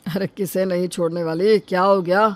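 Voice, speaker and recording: A woman playing a chiding member of the household in an animated story. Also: on the low side, normal speed, no echo, natural voice. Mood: chiding, questioning. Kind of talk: animated Hindi moral story dubbing.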